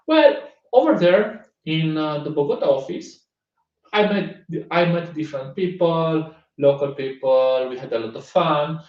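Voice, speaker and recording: A man's voice: on the high side, measured pace. Male conference speaker, chatty storyteller, many restarts; recorded far from a dull mic in an echoey room. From Romania. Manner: conversational, lively, many restarts and fillers. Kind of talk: online tech talk with anecdote. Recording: roomy, distant, dull sound.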